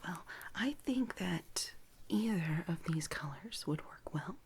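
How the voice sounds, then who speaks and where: A woman whispering gently and haltingly in a soft-spoken ASMR personal-attention roleplay, playing an attentive makeup artist. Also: lowish voice, lively intonation, a little hiss or hum. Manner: gentle, hushed, unhurried, halting with soft pauses.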